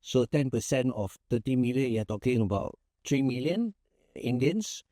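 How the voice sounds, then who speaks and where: Easygoing Malaysian man, mellow voice. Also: mid-range voice, animated, moderate pace, dry recording, clean studio sound. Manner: easygoing, rambling, many fillers and restarts. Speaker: managing partner of a small firm, guest. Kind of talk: casual interview answers.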